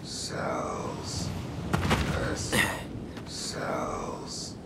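An actor playing a weakened, mindless test subject in a video game, mumbling in a breathy croaking whisper. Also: male voice, drawn-out words. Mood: dazed.

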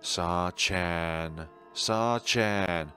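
A man voicing an ominous shadowy ghost in a horror game, halting and repetitive as it longs for someone lost. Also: low voice, sharp tone, faint music behind, exaggerated voice. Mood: obsessive calling. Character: ominous shadowy ghost obsessively calling a lost loved one.

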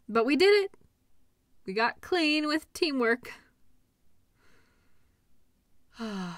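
A woman's voice: mid-range voice, pushed and bright, dry recording, clean studio sound, heavily acted. A woman playing a caring, upbeat girlfriend in an intimate roleplay audio, talking in a soothing, playful, encouraging way. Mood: relaxed, playful. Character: caring, upbeat girlfriend comforting an injured partner.

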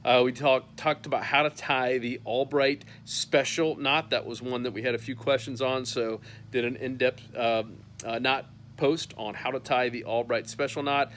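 A man's voice: medium pitch, steady tone, normal speed, fairly bright tone, slight background noise. A man in his thirties hosting a fishing newsletter roundup, talking casually and upbeat to a phone camera on an outdoor patio, with many "uh" fillers. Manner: upbeat and chatty, lots of "uh" fillers.

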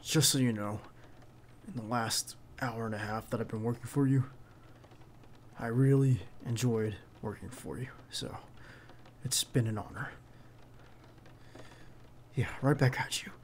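A man's voice: mid-range voice, lightly acted. Man acting as a protective bodyguard, noisy background. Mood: hushed, tense. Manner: halting, insistent, addressing the listener directly. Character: protective, earnest young male bodyguard to a crime boss. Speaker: ASMR roleplay voice actor. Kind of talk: ASMR roleplay acting. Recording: clear background noise under the voice.

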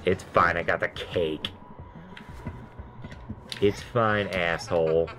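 A man's voice: sits low, steady tone, slow pace, fairly bright tone, faint music behind. A man with a nasal voice chatting casually while playing a game, rambling between stories and swearing at his mistakes. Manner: rambling, self-deprecating, swears at deaths. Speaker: gameplay commentator. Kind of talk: casual let's-play chat.

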